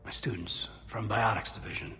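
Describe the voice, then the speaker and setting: A man playing an earnest, soft-spoken, somewhat hesitant space soldier in a video game, speaking intimately. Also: lowish voice, fairly even intonation, normal speed, whispered. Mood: sincere, relieved. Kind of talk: video game dialogue acting.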